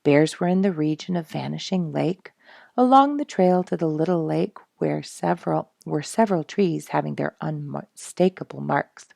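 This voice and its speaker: A woman with a low, heavily creaky voice reads a nature memoir aloud as a storyteller. Her delivery is expressive and suited to families, leaning on key words for dramatic effect. The recording is dry and close, with very little room sound. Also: normal speed, dry recording. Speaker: storyteller reading a book aloud.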